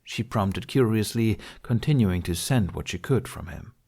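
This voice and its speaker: Low-pitched male audiobook narrator. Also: normal speed, darkish tone. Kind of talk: scripted fantasy story narration.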